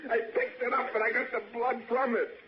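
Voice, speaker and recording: An actor playing a nervous, defensive young murder suspect with a hesitant, stammering delivery in a 1960s radio drama. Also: male voice, very high-pitched, flat delivery, brisk, crowd noise. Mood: pleading, anxious. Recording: dull, faintly echoing, noisy old radio recording.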